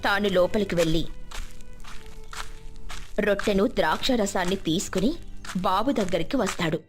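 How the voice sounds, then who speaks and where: Woman, storyteller, scripted moral narration, mid-range pitch, faint music bed. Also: moderate pace.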